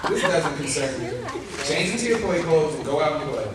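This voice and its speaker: Man as brusque dad, higher-pitched male, matter-of-fact, radio play, echoey, very noisy recording. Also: animated, fairly quick, natural voice. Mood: dismissive. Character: brusque, dismissive farm-raised father. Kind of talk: live radio play acting. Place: live stage in arts center. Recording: echoey hall, distant, loud noise.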